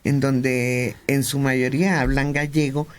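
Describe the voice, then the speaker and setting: A Mexican man speaking on a radio panel, explaining points in a measured way. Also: mid-range voice, flat delivery. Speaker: radio host.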